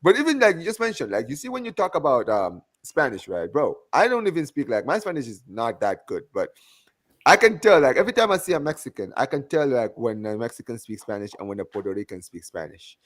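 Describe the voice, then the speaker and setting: A man's voice: mid-range voice, fairly quick. A man with a strong Gambian English accent, hosting a casual call-in chat and often repeating himself. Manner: animated, repeats phrases, often asks "you understand what I'm saying?". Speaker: host of a fan call-in show.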